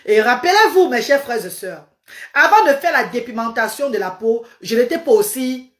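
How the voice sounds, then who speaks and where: A woman's voice: fairly high pitch, animated, moderate pace, fairly bright tone. A woman with a Cameroonian French accent, a native Medumba speaker, giving an emphatic, repetitive testimony on a phone live stream.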